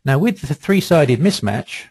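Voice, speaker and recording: Man, webinar presenter, slightly rough husky voice, dry close sound, methodical step-by-step software demonstration. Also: fairly high pitch, very animated, no room sound. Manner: methodical, measured step-by-step explaining. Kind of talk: software demonstration, explanatory walkthrough.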